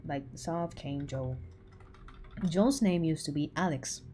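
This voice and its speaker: A woman's voice: deep voice, slow pace, mellow, slight background noise. A woman in her twenties chatting casually with her livestream viewers, teasing and full of filler words. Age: 20s. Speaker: animator streaming to chat.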